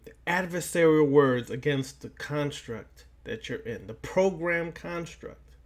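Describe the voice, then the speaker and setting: A man with a somewhat high voice delivers an occult lecture at a very slow pace. He breaks his thoughts into short, halting phrases with long pauses between them. Key lines come back again for emphasis, as a preacher would do. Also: expressive. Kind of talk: esoteric lecture, preaching to listeners.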